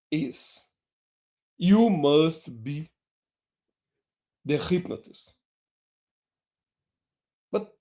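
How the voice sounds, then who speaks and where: Guy teaching a hypnosis lesson in english, takes it real slow, voice swoops up and down. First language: Portuguese. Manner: slow, deliberate delivery with lively pitch swings. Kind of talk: online lesson.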